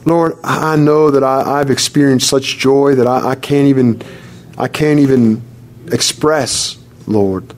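A man preaching to a congregation and praying aloud fervently, calling on the Lord again and again and reading scripture slowly. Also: mid-range voice, fairly even intonation. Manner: fervent, repeats "Lord" often; reads scripture slowly and deliberately. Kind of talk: sermon and spoken prayer. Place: church service with congregation responding.

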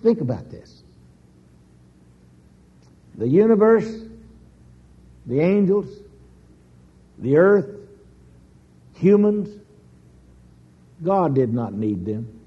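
A man preaching a sermon slowly and emphatically, with dramatic pauses and sudden rises in pitch. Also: big pitch swings, breathy edge. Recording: dull lo-fi recording.

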